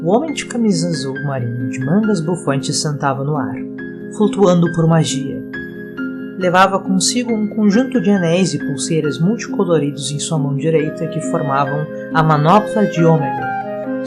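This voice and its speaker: A man with a Brazilian accent reading scripted story narration as the narrator of an audio tale. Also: on the high side. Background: music.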